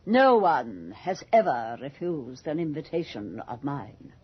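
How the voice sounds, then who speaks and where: Imperious aging noblewoman, husky and low, grandly bored, muffled radio drama sound. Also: sing-song, unhurried, exaggerated voice. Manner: haughty, grand, drawling. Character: imperious, jaded old aristocratic society hostess.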